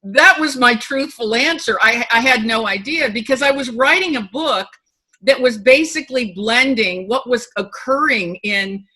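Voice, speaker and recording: Bright-voiced woman telling stories animatedly. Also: on the high side, moderate pace, slightly rough. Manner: animated storyteller, emphatic and candid. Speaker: author guest. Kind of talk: interview on a video call. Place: home room with bookshelves. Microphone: video call.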